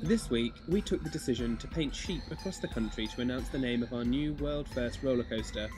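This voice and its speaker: A man's voice: medium pitch, brisk. A man speaking as a theme park spokesperson, making a press announcement. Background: music.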